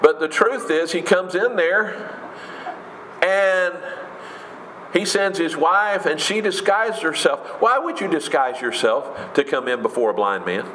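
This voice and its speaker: A man with a big booming voice preaching a sermon in an emphatic, conversational way, with rhetorical questions. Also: animated, a little slow, fairly bright tone, slight background noise. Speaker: pastor. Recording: echoey room with faint ambience.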